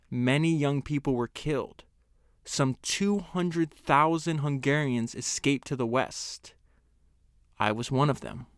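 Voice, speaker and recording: A man with a smooth, resonant voice hosts a podcast. He reads a book passage and comments on it in an earnest, measured way. The sound is dry and close, with no room echo. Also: medium pitch. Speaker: podcast host. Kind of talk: reading a book excerpt aloud and commenting on it.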